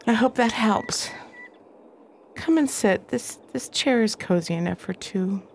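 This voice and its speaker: A woman's voice: fairly high pitch, expressive, a little slow, vocal fry, a little mellow, a little hiss or hum. An actress playing a preacher's caring wife who asks after his day in a radio drama. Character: gentle, concerned wife of a preacher.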